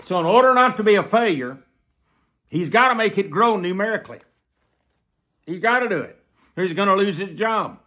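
An elderly man preaching to the camera in an emphatic, deliberate manner, often repeating phrases for weight. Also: a little high, sharp tone. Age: 70s. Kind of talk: sermon to camera. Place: small plain room indoors.

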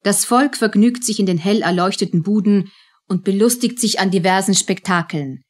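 A woman's voice: mid-range voice, moderate pace. A woman reading scripted narration as the narrator of a radio drama.

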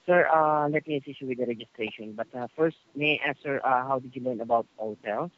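A man's voice: medium pitch, brisk. A man working as a telephone service agent, polite and formal, following a customer service script on a call. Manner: polite, formal, constantly says sir. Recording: muffled phone call with slight noise.

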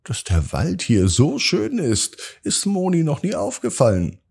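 A man hosting a children's podcast and narrating bedtime stories, speaking directly to young listeners with dramatic pauses and drawn-out words. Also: mid-range voice, wildly swooping pitch, creaky, darkish tone, breathy edge. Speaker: children's podcast host and storyteller.

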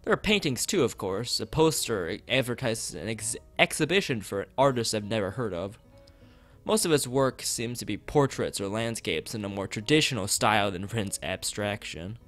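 Low-voiced man streaming a game. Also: animated, normal speed. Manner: rambling, drifting asides to chat. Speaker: game streamer reading a visual novel aloud. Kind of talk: live stream commentary mixed with reading aloud. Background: background game music.

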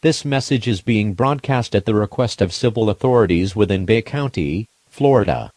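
A man's voice: on the low side, lively intonation, normal speed, darkish tone, slight background noise. A man's formal, impersonal synthetic voice reading a scripted emergency alert announcement.

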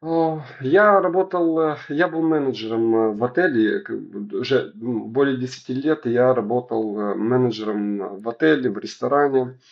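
Мужчина лет сорока с румынским акцентом отвечает на вопросы интервью по-русски, запинается и подбирает слова. Голос с хрипотцой, звук глуховатый, как в видеозвонке. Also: male voice, medium pitch, gravelly, lo-fi sound. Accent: Romanian. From Romania. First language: Romanian. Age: 40s. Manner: halting, searching for words, many fillers. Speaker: sommelier guest. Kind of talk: interview answers in a second language. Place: indoors at home, bright room.

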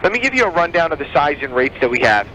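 A man working as a telemarketer, giving a sales pitch over a phone line. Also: big pitch swings, very fast, bright, forceful tone. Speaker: telemarketer selling advertising. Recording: dull phone line, faint noise.

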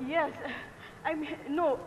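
A woman's voice: high-pitched, fairly even intonation, slow, highs cut off. A young actress on stage playing a distressed, evasive young woman. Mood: flustered. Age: young adult. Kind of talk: stage play acting. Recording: echoey stage hall, faint noise.

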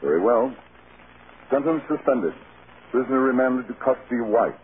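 An actor playing a grave, reproving court judge with a clipped, formal delivery in 1930s radio style. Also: male voice, mid-range voice, expressive, moderate pace, natural voice. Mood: stern. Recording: scratchy, tinny 1930s radio broadcast.

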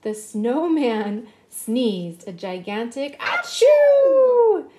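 A woman in her thirties giving an expressive children's picture-book read-aloud, drawing out words for emphasis. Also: high voice, background noise. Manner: expressive, slow, drawn-out emphasis. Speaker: children's storybook reader.

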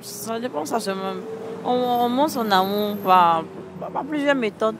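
A woman answering a street interviewer at night in short, hesitant, halting phrases, into a handheld mic. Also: fairly high pitch, expressive, music in the background. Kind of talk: vox pop answer. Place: city street at night beside traffic. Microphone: handheld mic held by interviewer. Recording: street traffic noise outdoors.

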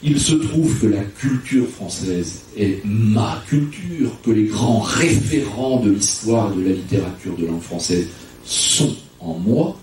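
A man in his fifties from Brittany speaks earnestly as a lecturer. His voice is fairly low and dark. The room rings heavily around him. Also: expressive, slow pace, recorded from a distance. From Brittany, France. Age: 50s. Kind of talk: earnest public talk. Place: conference hall, on stage. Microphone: microphone in front. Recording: very echoey hall, faint noise.

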